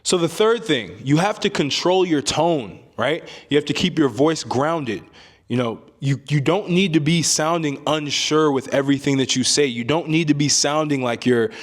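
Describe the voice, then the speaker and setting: A calm young man with a deep voice giving casual advice to camera, often ending points with a rhetorical "right?". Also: moderate pace. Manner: calm, emphatic, frequent "right?" tags. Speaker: self-improvement YouTuber.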